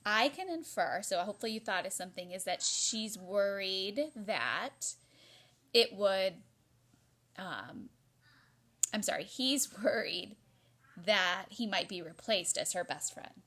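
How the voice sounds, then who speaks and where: A woman's voice: medium pitch, expressive, slow, a bit bright. A woman teacher giving an interactive read-aloud for children, explaining expressively and prompting listeners as she goes.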